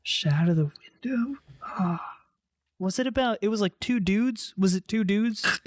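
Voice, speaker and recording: A man's voice: high-pitched, lively intonation. A young man co-hosting a podcast, joking and teasing his friend in casual chat. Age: young adult. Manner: joking, teasing, quick asides. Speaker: podcast co-host.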